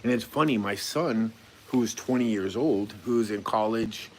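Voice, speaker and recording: A man's voice: fairly low pitch, a little slow, slight background noise, rough recording. A man in his forties chatting casually on a live stream, reading viewer comments aloud and answering them in a laid-back, rambling, goofy way with a chuckle now and then. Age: 40s.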